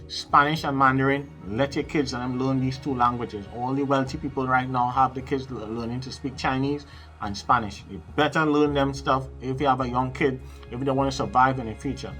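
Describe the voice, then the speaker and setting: A man's voice: mid-range voice, fairly even intonation, a little fast, bright, forceful tone, faint music behind. A middle-aged man giving casual advice straight to camera in a small room at home, emphatic and preachy, repeating words and speaking directly to his viewers. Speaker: psychic advisor and channel host.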